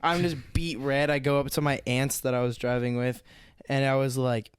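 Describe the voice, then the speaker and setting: A young man on a podcast rambles self-consciously through personal stories. His voice is smooth and clear at a middling pitch. The sound is dry and close to the mic. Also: flat delivery, normal speed, no echo. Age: young adult. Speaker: podcast co-host. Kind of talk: casual storytelling chat.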